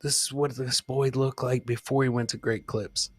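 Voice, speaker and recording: A man's voice: medium pitch, level tone, normal speed, dry recording. A man hosting a live comedy show, riffing casually on clips in a rambling, profane, jokey way.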